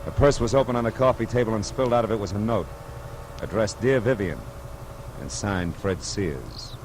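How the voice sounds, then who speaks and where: A man's voice: lowish voice, normal speed, slight background noise, lightly acted, rough recording. A man with an American accent playing a wisecracking, hard-boiled private detective in a 1950s radio drama, tough, clipped and sardonic. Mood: brisk narration. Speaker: lead actor and narrator. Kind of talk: 1950 radio detective acting. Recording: old radio broadcast with loud music behind.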